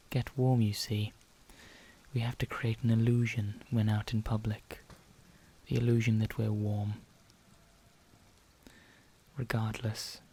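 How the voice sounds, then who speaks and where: A man playing an ancient, condescending vampire in an ASMR roleplay, speaking softly and deliberately, often slipping into a whisper, with calm menace. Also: lowish voice, a little hiss or hum. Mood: smug, condescending. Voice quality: soft, breathy, often dropping into whisper. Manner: slow, deliberate, pausing, formal.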